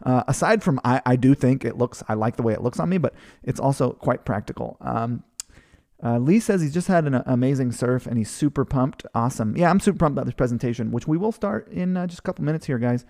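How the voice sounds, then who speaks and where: A man from Hawaii in his thirties hosts a live online session, reading out viewer comments in a loose, rambling way. His voice is gravelly with a dark, mellow tone, and he talks over a computer microphone. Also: medium pitch, expressive, fast talker. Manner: rambling, chatty, many fillers and restarts. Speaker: webinar host.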